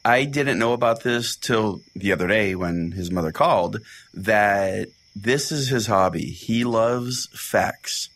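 A man's voice: lowish voice, slow, fairly bright tone. A man hosting a comedy podcast, telling it in a theatrical, mock-solemn way. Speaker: podcast host. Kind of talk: comic dramatic storytelling and show chat. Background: music.

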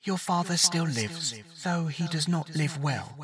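A man doing a hushed ghostly mother. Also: fairly high pitch, a little slow, breathy edge, no echo, far from the mic, voice pushed up, heavily acted. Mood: sorrowful. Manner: soft, hushed and gentle. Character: ghostly woman, Odysseus's grieving mother.

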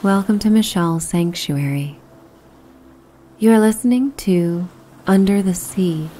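Woman, lowish mellow voice, very slow pace, soothing breathy delivery, guided sleep meditation, soft ambient soundscape behind. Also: a little hiss or hum. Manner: soothing, hushed and breathy, unhurried. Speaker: sleep meditation host and narrator. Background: relaxing ambient soundscape.

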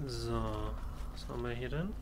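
A man's voice: medium pitch, moderate pace, background noise. A man giving casual let's play commentary, talking in character to the game's figures. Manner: talks to game characters as if present. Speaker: let's player. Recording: voice over game music. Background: video game music and sounds.

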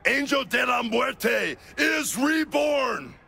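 An actor playing a grim, proud, vengeful luchador in a video game, speaking with solemn, dramatic intensity. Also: male voice, very high voice, animated, slow, creaky, pushed and bright, breathy edge, raised voice, faint music behind, heavily acted. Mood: fierce.

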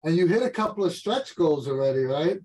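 Higher-pitched man co-hosting casually. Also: lively intonation, brisk, mellow, low-quality recording. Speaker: co-host reading audience questions. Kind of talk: casual chat. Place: video call from a room.

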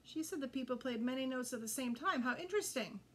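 A woman's voice: high voice, animated, quick pace. A woman voicing a playful, curious young mongoose boy in a storybook, exclaiming and wondering. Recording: faint background noise.

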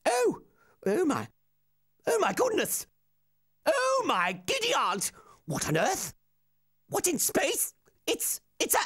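A woman playing a bossy, excitable male inventor obsessed with space in a children's puppet comedy. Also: extremely high pitch, takes it slowly, long pauses, full character voice. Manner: bossy, exclaiming, calls others idiots.

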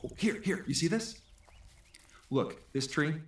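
A man's voice: a little high, animated, a little slow, a bit bright, roomy sound. A man acting in an audio drama as an eager outdoor guide, explaining with urgency. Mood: eager, intent.

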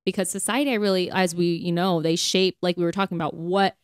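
A woman's voice: lowish voice, fairly even intonation, quick pace, clear, resonant tone, no room sound, studio quality. An American woman co-hosting a podcast, talking casually and emphatically, with "like" sprinkled all through her speech. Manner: chatty, emphatic, heavy use of "like". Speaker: podcast co-host. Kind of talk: casual conversational podcast talk.

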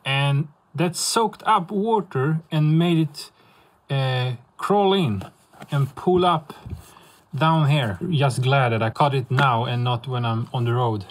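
A young adult man talking casually as a vlogger, in a halting, reflective way. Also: mid-range voice. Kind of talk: casual vlog narration.

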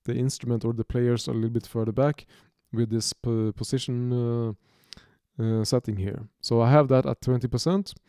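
A man in his thirties from Norway with a Norwegian accent, giving an unscripted music software tutorial from his home studio, talking haltingly with many restarts and fillers. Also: medium pitch, fairly even intonation, clear, resonant tone, no echo. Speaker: music producer demonstrating software.

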